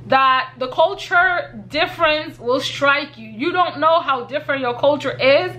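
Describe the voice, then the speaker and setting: A woman's voice: high-pitched, a little slow, sharp tone, rough recording. A young woman from Kenya giving earnest, casual advice on her vlog, repeating points and laughing lightly. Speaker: YouTuber.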